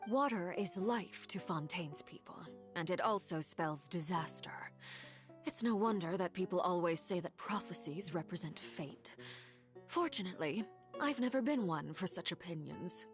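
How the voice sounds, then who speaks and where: Composed, low-voiced commanding woman. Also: animated, music in the background. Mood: calm, resolute. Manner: formal, measured, deliberate. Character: composed, formidable female commander of a powerful organization. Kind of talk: video game voice acting.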